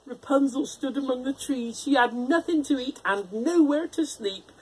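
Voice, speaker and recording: A storyteller narrating a children's fairytale in an expressive, theatrical manner. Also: high-pitched, moderate pace, slightly rough, poor audio.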